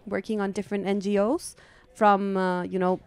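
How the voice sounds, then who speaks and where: A woman, a guest in an interview, warm and quick to laugh. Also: medium pitch, level tone, clean tone, no echo. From Ecuador. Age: 30s. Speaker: guest, trail runner. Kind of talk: interview chat. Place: pop-up store at a race event.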